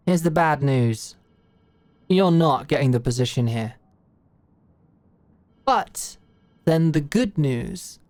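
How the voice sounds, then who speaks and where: A woman's voice: deep voice, darkish tone, dry recording, exaggerated voice. A woman playing a blunt, shrewd older British executive in an audio roleplay, talking in a brash, slangy, commanding way. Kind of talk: scripted audio roleplay monologue.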